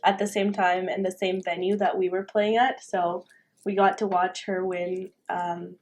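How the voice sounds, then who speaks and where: A woman's voice: on the low side, flat delivery, a little slow, a little mellow. Chatty young woman, tennis player guest. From Romania. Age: young adult. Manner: chatty, laughs often, many fillers. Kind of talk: casual interview.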